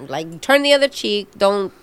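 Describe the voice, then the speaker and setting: A middle-aged woman co-hosting a talk show in casual, unscripted banter, brash and quick to swear. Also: medium pitch, lively intonation, a bit bright, clear, resonant tone. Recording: noisy background.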